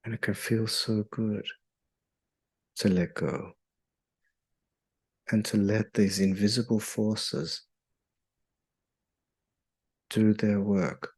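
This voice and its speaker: A man leading a guided meditation in a soothing, hypnotic, measured way with long pauses. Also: fairly low pitch, little pitch movement, a little mellow, husky.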